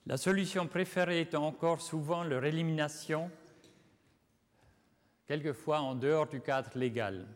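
A man from Switzerland gives a conference lecture in a language that is not his own. His voice sits a little high, and his delivery is measured and deliberate with small slips. The sound carries the long reverb of a lecture hall. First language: not French. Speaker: guest lecturer.

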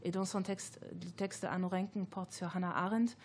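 A woman's voice: fairly low pitch, steady tone, normal speed, breathy edge. A woman whose first language is German, a guest author speaking reflectively in an academic discussion, thoughtful and hesitant with many fillers and restarts.

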